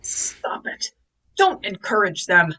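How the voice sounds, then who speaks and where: A woman's voice: medium pitch, sing-song, slow, pushed and bright, exaggerated voice. A woman playing a stuffy know-it-all professor in stubborn denial, lecturing emphatically in an amateur table read. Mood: agitated denial.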